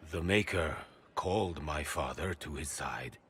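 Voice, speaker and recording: A courtly duke in a fantasy game, with an extremely deep voice. He is formal, grave and deferential, over faint ambience. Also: unhurried, fairly bright tone, slight background noise. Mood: courteous, solemn. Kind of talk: dramatic game acting.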